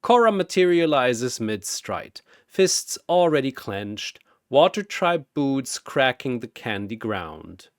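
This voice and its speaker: A man narrating a scripted story in a dramatic, theatrical and deliberate manner. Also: mid-range voice, sing-song, no room sound, studio quality.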